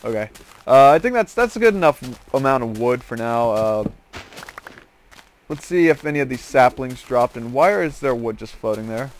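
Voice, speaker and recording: A man's voice: mid-range voice, animated, moderate pace. A man giving casual, rambling game commentary as host, drifting into joking asides. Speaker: host of a gaming series. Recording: clear background noise with clicks.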